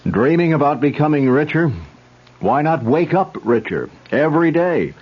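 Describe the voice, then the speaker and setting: A man's voice: a little high, animated, moderate pace, dull audio. A man reading a 1970s radio advertisement in a salesy way. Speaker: commercial announcer.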